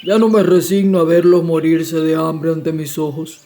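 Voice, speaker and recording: A woman's voice: sits low, flat delivery, normal speed, mellow, soft background music, voice pushed down. A woman voicing a poor woodcutter father, solemn and resigned, in a dramatized fairy-tale audiobook. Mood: grave, resigned.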